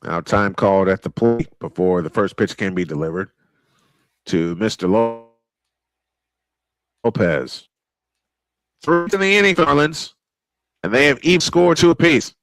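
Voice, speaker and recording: A man calling live baseball play-by-play, excitable and prone to repeating his points. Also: lowish voice, no echo. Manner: excitable, repeats points, quick calls of action. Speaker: play-by-play announcer. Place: home sports studio.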